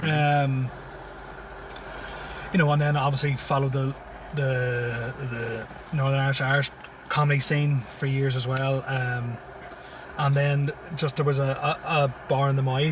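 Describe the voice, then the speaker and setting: A man's voice: medium pitch, flat delivery, normal speed, distant mic, background noise. Laid-back man with a Tyrone accent. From Syria, raised in Tyrone. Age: 30s. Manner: laid-back, chuckles often. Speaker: comedian guest. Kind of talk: casual interview chat. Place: inside a parked car. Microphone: phone.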